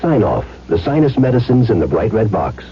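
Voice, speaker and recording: A man's voice: lowish voice, very animated, fairly quick. A man announcing a 1970s radio commercial in an emphatic, salesmanlike way. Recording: dull vintage radio broadcast.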